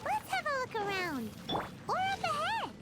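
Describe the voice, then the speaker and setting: A woman voicing a tiny, childlike, anxious female fairy companion in an English video game, with a squeaky, whiny delivery. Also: wildly swooping pitch, moderate pace, loud music behind the voice, exaggerated voice. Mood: wary, puzzled. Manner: squeaky, whiny, speaks of self in third person.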